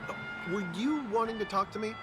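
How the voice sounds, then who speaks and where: A man's voice: high-pitched, brisk, close to an everyday voice. A man voicing an awkward, lonely young rich heir in a video game, hesitant and emotional, over music. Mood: hesitant, surprised.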